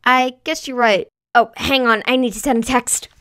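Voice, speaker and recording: A woman voicing an earnest, kind young girl trainer in a story reading, with a light, clear voice and slow delivery. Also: fairly high pitch, steady tone, fairly bright tone, close to an everyday voice.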